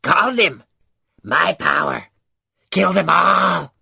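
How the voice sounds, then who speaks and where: A man voicing a scrawny, mad cave creature that cackles and rants in a shrill, gloating voice for an audiobook. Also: lively intonation, slow, gravelly, sharp tone, slightly rough, heavily acted. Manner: ranting, gloating. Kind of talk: audiobook character voice.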